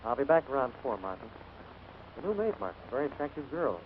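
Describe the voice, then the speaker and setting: Suave gentleman boss played by a man, wry, fast, animated delivery, vintage radio comedy with tinny sound. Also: medium pitch, lightly acted. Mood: teasing, amused. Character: suave, observant gentleman employer, teasing his servant. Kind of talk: 1940s radio comedy acting. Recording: scratchy, narrow-band 1940s radio broadcast.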